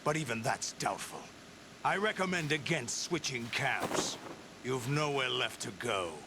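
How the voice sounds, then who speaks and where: A man's voice: medium pitch, expressive, unhurried, background noise, close to an everyday voice. An actor playing a stern male warlord who gives orders with authority, in a video game cutscene. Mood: commanding. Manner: commanding, stern. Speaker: leader of a mercenary group.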